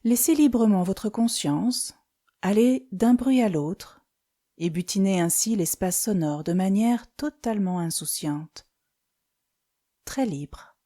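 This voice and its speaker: Woman doing guided relaxation, soft dark mellow tone, calm n soothing, leaves pauses between phrases. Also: mid-range voice. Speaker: yoga nidra instructor. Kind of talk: guided meditation.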